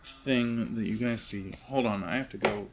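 Gravelly low-voiced man narrating a game. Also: music in the background. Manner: halting, descriptive delivery. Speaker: game master. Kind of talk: improvised tabletop game narration.

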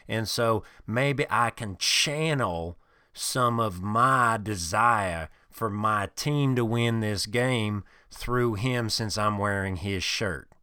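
A man's voice: fairly low pitch, smooth, no echo, studio quality. A man doing a crude, swaggering couch football fan. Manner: loud, swaggering, profane ranting. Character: crude boastful middle-aged male couch football fan. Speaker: podcast host in character. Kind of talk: improvised comedy character monologue.

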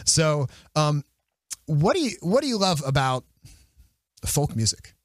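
A young man hosting a casual interview, enthusiastic and quick to repeat himself as he restarts his sentences. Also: on the high side, big pitch swings, dry recording, studio quality. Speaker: podcast host.

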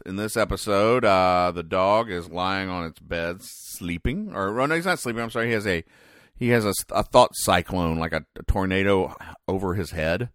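A man hosting a comedy podcast, explaining things in a halting, deadpan mock-serious commentary. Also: low voice, no echo, clean studio sound. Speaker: podcast host. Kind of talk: comic commentary describing newspaper comic strips.

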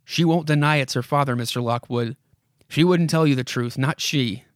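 A man's voice: mid-range voice, normal speed, dry recording, lightly acted. A man puts on the voice of a haunted old house from a horror comic. It speaks straight to its victim with dramatic urgency, insistent and commanding. The sound is dry, with hardly any room around it. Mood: scolding, impatient. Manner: dramatic, commanding second-person address with repeated imperatives. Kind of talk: horror comic read aloud.

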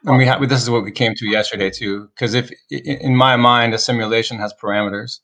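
A man in a casual podcast discussion, reasoning through a philosophical point in halting, searching phrases. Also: medium pitch, fairly even intonation, a bit bright.

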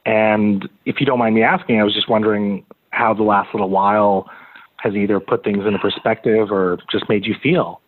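Low-voiced male host, phone-like sound. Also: fairly even intonation, moderate pace. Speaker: host, interviewer. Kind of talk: conversational phone interview.

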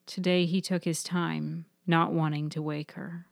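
A woman reading scripted literary fiction for a podcast, with measured, deliberate phrasing. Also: sits low, flat delivery, creaky. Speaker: writer-narrator of fiction podcast.

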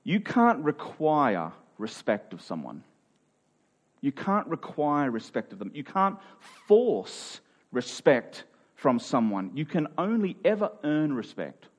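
Male pastor, sermon, slightly high voice, conversational, emphatic swells. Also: big pitch swings, takes it slowly. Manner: conversational preaching, rising to emphatic peaks.

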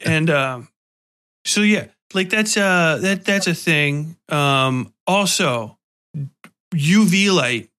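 A man with a very bright, forceful voice pitched a little high. He hosts a loose, conversational interview, swearing freely and talking with animation, often throwing in his own sound effects. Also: expressive, slow pace, clean tone. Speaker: podcast host interviewing a guest.

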